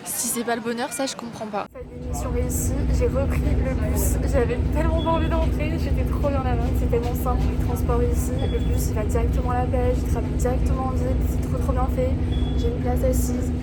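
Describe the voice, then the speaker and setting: A woman's voice: a little high, steady tone, fairly quick, over loud music. A woman in her twenties vlogging to camera in a casual, chatty and confiding way, upbeat as she talks to her viewers. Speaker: travel vlogger. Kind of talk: casual vlog talk to camera.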